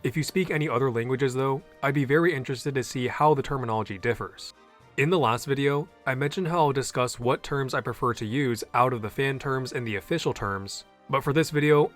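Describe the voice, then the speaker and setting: A man's voice: medium pitch, brisk, a bit bright, faint music behind. A man hosting a scripted explainer video, earnest and opinionated, with a native English voice. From half Japanese. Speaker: YouTube host.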